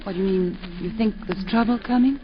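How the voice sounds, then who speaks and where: An actress playing a warm wife and mother who both narrates and acts, wistful and lightly self-mocking, in a 1940s radio play. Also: medium pitch, a little fast, noisy background, natural voice. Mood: gracious. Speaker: narrator and lead. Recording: muffled 1940s radio broadcast with music.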